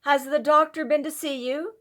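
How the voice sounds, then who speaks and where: A woman voicing a gruff but kindly farm husband with a plain, deliberate manner in a storybook reading. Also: high voice, steady tone, a bit bright, voice pushed up. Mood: gently questioning.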